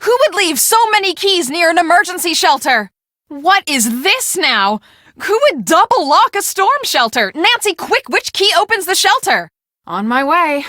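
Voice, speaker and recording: A woman playing an earnest, take-charge storm-chasing project manager in a video game, speaking in a brisk, businesslike way. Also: very high-pitched, sing-song, bright, forceful tone, no echo, clean studio sound, full character voice. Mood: urgent.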